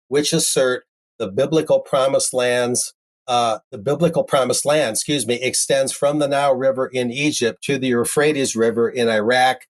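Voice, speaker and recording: A man presenting news commentary, reading articles aloud and adding wry, conversational asides of his own. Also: medium pitch.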